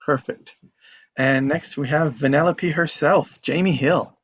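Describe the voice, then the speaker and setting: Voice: mid-range voice, unhurried, husky. A host casually moderating a group, thanking people and introducing the next speaker.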